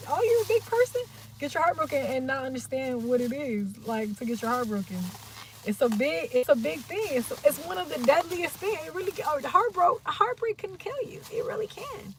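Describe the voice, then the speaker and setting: Young woman, slightly high voice, loose slangy livestream chatter, faint room noise behind. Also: low-quality recording. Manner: rambling, animated, slangy, talks to her viewers. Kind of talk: casual livestream monologue.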